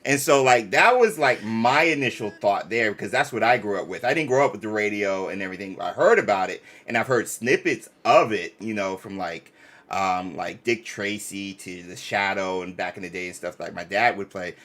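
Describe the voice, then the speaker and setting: A man's voice: on the low side, expressive, normal speed, fairly bright tone. A man co-hosting a casual live reaction stream, enthusiastic and slangy, often repeating himself for emphasis.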